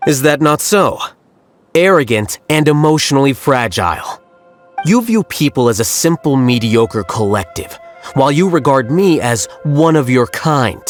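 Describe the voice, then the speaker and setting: A man voicing a cool, aloof young scholar who speaks in a calm, detached and analytical way. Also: medium pitch, a little slow, faint music behind, natural voice. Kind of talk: game voice acting.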